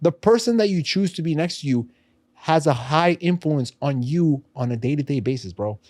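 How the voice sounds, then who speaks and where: A man's voice: fairly high pitch, lively intonation, normal speed, a little mellow, dry recording, clean studio sound. A man in his thirties with a New York accent, co-hosting a podcast in casual, emphatic, slangy chat. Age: 30s. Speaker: podcast co-host. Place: podcast studio.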